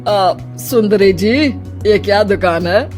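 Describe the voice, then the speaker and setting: A woman's voice: medium pitch, slow pace, lightly acted. A woman voicing a vain, evasive retired husband of fifty in a dramatized story, playful and full of colloquial exclamations. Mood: eager, curious. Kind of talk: dramatized Hindi moral story. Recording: music behind the voice. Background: music.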